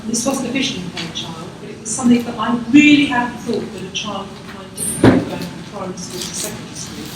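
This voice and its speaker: A woman's voice: medium pitch, fast, few pauses, background noise. Chatty woman in an echoey hall. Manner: chatty, animated storytelling. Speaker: teacher in the audience. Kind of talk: telling an anecdote. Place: conference hall. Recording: echoey hall, distant mic.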